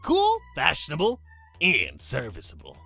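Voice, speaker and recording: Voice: medium pitch, huge pitch swings, unhurried, gravelly, pushed and bright, husky, soft background music, heavily acted. An actor voicing a flamboyant, cocky demon guide from hell in a visual novel, with a showy, self-satisfied delivery. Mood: smug, playful. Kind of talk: theatrical visual novel character acting.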